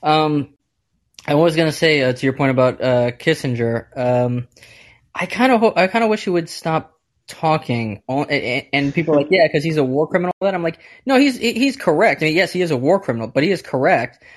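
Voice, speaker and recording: A man's voice: fairly high pitch, expressive, a little fast. Chatty joking male caller. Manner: chatty, jokey, stumbles and restarts. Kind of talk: casual call-in chat.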